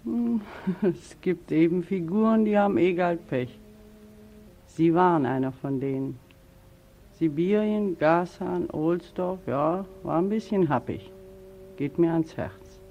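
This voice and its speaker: An actor playing a callous, flippant housewife in a 1940s radio drama, offhand and mock-sympathetic. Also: male voice, a little high, slow pace, warm tone. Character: callous, flippant petty-bourgeois Frau Kramer. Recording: muffled, noisy 1940s radio recording.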